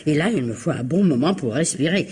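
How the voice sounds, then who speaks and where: An actress playing a nosy, chatty older female neighbour in a French mystery radio play, confiding and insistent, with a husky voice. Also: sits low, expressive, fairly quick, darkish tone, exaggerated voice. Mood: knowing, gossipy.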